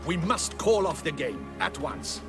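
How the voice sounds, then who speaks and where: An actor playing a serious male officer in a duchess's service in a video game, formal, grave and measured. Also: on the high side, very animated. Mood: urgent. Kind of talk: video game cutscene acting. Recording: music behind the voice.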